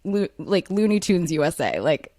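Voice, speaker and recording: A woman, talking candidly as an interview guest, frank and self-deprecating with lots of fillers and quick laughs. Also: on the low side, big pitch swings, dark tone, dry recording, clean studio sound. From United States. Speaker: author and guest.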